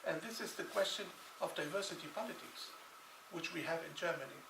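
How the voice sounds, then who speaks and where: A man's voice: on the high side, fairly quick, vocal fry. A man from Lebanon giving a conference talk in non-native English, emphatic and repeating phrases for stress. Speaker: conference speaker. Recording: echoey hall, distant mic, noisy.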